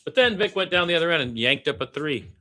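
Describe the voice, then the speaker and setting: A man calling basketball as a partisan radio play-by-play announcer, blunt and opinionated, animated in his delivery and repeating phrases for emphasis. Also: fast. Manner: animated, swinging from low asides to loud high calls.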